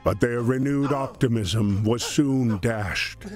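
A man narrating a scripted dramatic story in a measured, solemn way. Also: mid-range voice. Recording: music under the voice. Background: background music.

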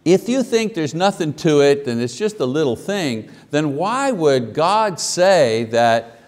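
A man in his fifties preaching a sermon at a church pulpit, animated and asking the congregation questions. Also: a little high, takes it slowly. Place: church sanctuary at a wooden pulpit. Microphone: lapel mic. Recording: long hall reverb.

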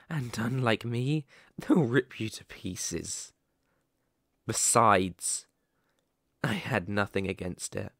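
A man voicing a sadistic, possessive werewolf captor in an ASMR roleplay, speaking in a deliberate, condescending, threatening way. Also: on the low side, a bit bright, no echo, clean studio sound, full character voice. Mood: controlling, cold.

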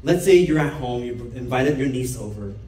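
Young guy from korea doing a talk on stage, bouncy pitch, voice a bit far off in a big echoey room. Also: animated, normal speed, warm tone. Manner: playful, chatty, asks the crowd questions. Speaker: speaker giving a stage talk. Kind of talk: lively stage talk to an audience. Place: dark theater stage with an audience. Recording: roomy stage sound heard from far off.

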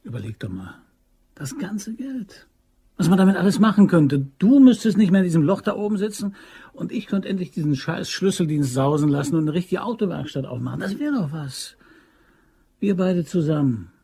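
An actor playing a scheming, persuasive ex-convict locksmith in a German crime radio drama, with slangy, insinuating talk. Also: male voice, high voice, big pitch swings, moderate pace, dark tone, husky, heavily acted. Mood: eager, persuasive.

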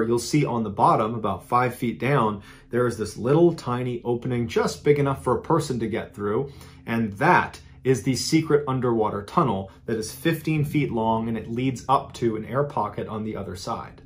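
Host, man, 30s, animated true-story narration to camera. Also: medium pitch. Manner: animated, conversational retelling with dramatic emphasis. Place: indoor studio with a green-screen backdrop.

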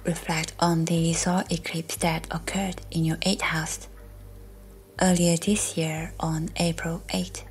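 A woman leads a guided meditation in a soft, breathy near-whisper. Her delivery is very slow and flat in pitch, soothing and scripted. Music plays behind her voice.

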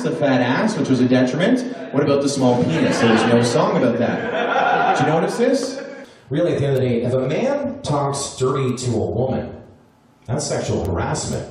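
A man's voice: medium pitch, darkish tone. Man doing stand-up in an echoey club. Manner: loose, conversational delivery with crude punchlines. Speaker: stand-up comedian. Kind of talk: stand-up comedy set. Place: small club stage. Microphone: handheld mic. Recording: echoey room recorded from a distance.

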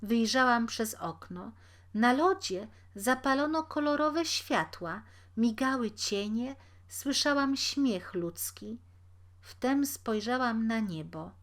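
Lady doing a humble sad old peasant woman from a fairy tale, meek and respectful, slow talking. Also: on the high side, lively intonation, a bit bright. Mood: earnest recollection. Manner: meek, deferential storytelling. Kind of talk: storybook character reading.

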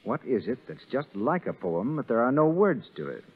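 A man announcing in a scripted 1930s radio style, delivering a sponsor's pitch. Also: very animated, moderate pace, breathy edge. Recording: muffled, narrow 1930s radio broadcast.

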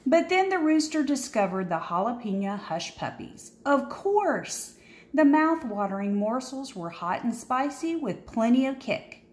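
A woman reads a children's picture book aloud in a fairly high voice that swings up and down with plenty of animation. She takes her time, leaning on key words with dramatic pauses. The room adds a noticeable echo. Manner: expressive, dramatic pauses and emphasis. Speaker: storybook reader.

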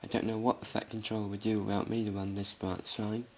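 A man's voice: lowish voice, level tone, quick pace, gravelly. A man voicing a self-important, busy engine in a children's storybook, in a pompous manner. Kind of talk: children's audio storybook character acting.

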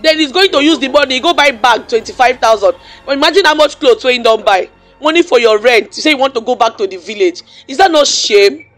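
A man's voice: very high voice, animated, a little fast, a bit bright, soft background music. A man with a Nigerian accent complaining in mixed English and Pidgin, indignant, telling his side with rhetorical questions.

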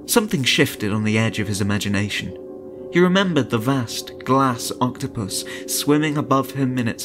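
A man narrating a horror story in a dramatic, suspenseful and deliberate audiobook style. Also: mid-range voice. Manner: suspenseful, deliberate, theatrical delivery. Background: music.

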